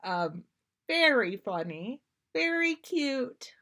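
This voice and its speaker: A woman's voice: sits high, very animated, slow, a bit bright. A woman podcast host chatting casually on a livestream, giggly and self-deprecating between story readings.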